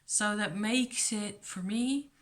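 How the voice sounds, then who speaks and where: A woman's voice: medium pitch, takes it slowly. A woman whose first language is Dutch, chatting casually to her viewers in a rambling, reflective way, full of ums, uhs and restarts. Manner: rambling, hesitant, many fillers and restarts. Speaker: vlogger. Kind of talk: casual rambling chat to camera.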